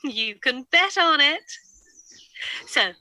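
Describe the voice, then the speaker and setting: Jaunty soap-parody announcer woman actor: high, sharply bright, scripted radio narration. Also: lively intonation, takes it slowly, dry recording, full character voice.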